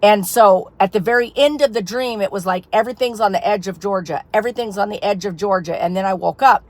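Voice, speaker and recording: A woman's voice: mid-range voice, fast. Woman losing her voice, rambling. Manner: rambling, filler-heavy storytelling. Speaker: ministry leader. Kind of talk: casual personal testimony to camera.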